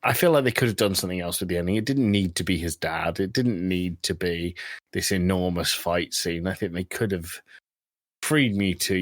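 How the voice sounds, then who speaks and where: A man's voice: sits low, no echo, studio quality. A man co-hosting a casual film podcast, blunt and sweary in his opinions. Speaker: podcast co-host.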